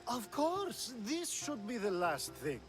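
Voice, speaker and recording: A man's voice: very high-pitched, wildly swooping pitch, slow, music in the background, exaggerated voice. An actor playing a sly, charming ancient Greek nobleman in a video game, teasing and theatrical. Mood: playful, persuasive. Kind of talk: video game cutscene acting.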